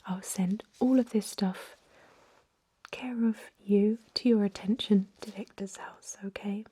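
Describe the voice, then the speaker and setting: A woman whispering an ASMR roleplay as a gentle, reassuring vampire doctor, with hesitant, soothing bedside patter close to the microphone. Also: mid-range voice, steady tone, unhurried. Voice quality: breathy whisper.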